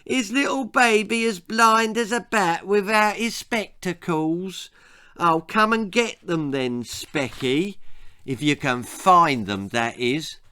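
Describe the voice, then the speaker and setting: A man's voice: sits high, slow, pushed and bright, clear, resonant tone, voice pushed up, full character voice. A man voicing a cruel schoolboy bully with a taunting, sneering manner, in a children's storybook reading. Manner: taunting, sneering, mock baby-talk.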